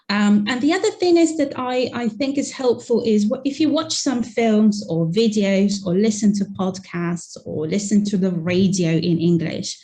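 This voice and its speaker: A woman's voice: mid-range voice, warm tone. A woman giving friendly, conversational language-learning advice in an online webinar, with plenty of "you know" fillers, heard over a video call. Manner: chatty, encouraging, uses many fillers like "you know". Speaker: guest teacher in a webinar.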